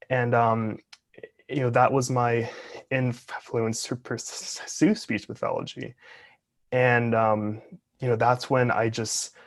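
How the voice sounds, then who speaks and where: A man in his twenties, an interview guest, who talks openly and earnestly while stuttering with repeated sounds and blocks. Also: mid-range voice. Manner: open, earnest. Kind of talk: casual interview talk.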